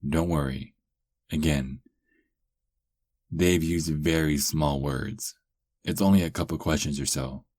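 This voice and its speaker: A man's voice: a bass voice, slow, pauses often, a little mellow, no room sound, heavily acted. A man playing a cocky, sarcastic young adult werewolf held captive, in an ASMR roleplay with a taunting, sardonic drawl. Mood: taunting, smug.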